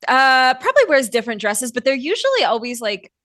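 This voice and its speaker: A woman's voice: high voice, big pitch swings, a little fast, fairly bright tone. A woman playing a tabletop roleplaying game, casually describing a character while searching for her words and saying "like" often. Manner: hesitant, searching for words, frequent "like". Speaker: player in a tabletop roleplay show.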